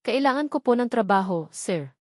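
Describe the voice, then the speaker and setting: A woman's voice: fairly high pitch, lively intonation, normal speed, no room sound, studio quality. A woman voicing a young female secretary, deferential and restrained, in a narrated romance drama. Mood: guarded, polite.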